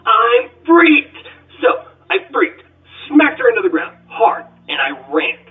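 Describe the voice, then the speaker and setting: A brash robot gunslinger, acted out by a man, tells his story with theatrical excitement and a wisecracking streak. The male voice is high and swings widely, heard from off the mic through a tinny recording with music underneath. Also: slow, far from the mic, full character voice. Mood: excited, frantic. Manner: theatrical, excitable storytelling with shouted sound effects. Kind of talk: dramatic first-person audiobook monologue.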